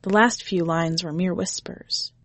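A woman's voice: on the low side, steady tone, measured pace, dry recording. A woman reading a short story aloud as a podcast host, in scripted narration.

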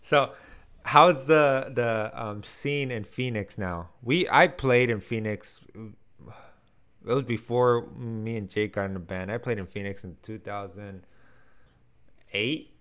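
Relaxed twenty-something male co-host, low smooth voice, casual band talk. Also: slow. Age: 20s. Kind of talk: casual podcast chat.

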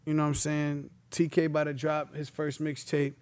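Slangy young man, fairly high voice. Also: monotone, normal speed, a little mellow, clear, resonant tone, no room sound. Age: 20s. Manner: laid-back street slang, many filler phrases. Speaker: rapper guest. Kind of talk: casual interview chat.

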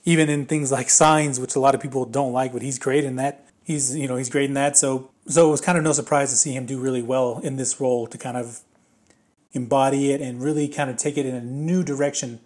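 A man with a slightly raspy voice giving a casual, rambling spoken movie review, full of fillers and restarts. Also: mid-range voice, little pitch movement, fairly quick, darkish tone.

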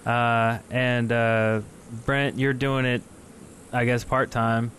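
A man's voice: medium pitch, flat delivery, slow, a bit bright, a little hiss or hum. A man co-hosting a live call-in podcast, chatty and easygoing, laughing often and restarting his sentences. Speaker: podcast co-host. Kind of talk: casual live call-in chat.

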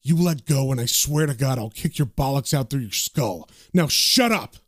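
A man's voice: animated, normal speed, darkish tone, no echo, clean studio sound, lightly acted. A man voicing a cynical, hard-bitten male occultist in a comic-book horror story, bossy and slangy. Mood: angry, commanding. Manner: low, sardonic, slangy, bossy.

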